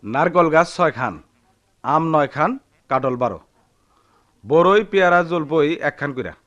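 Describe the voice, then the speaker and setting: A man's voice: on the high side, expressive, unhurried, a bit bright, dull audio. An actor playing a defiant, hot-headed village man in a radio drama, blustering and threatening in a rural Bangladeshi Bengali accent. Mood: impatient.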